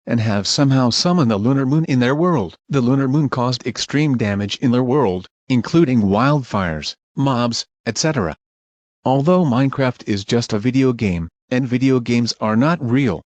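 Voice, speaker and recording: A man reading a scripted mock emergency alert as a broadcast announcer, formal, measured and urgent. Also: medium pitch, no room sound.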